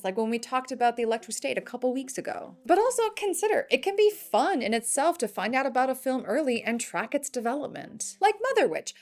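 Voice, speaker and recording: A woman hosting a scripted film news show with wry, opinionated commentary. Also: on the high side.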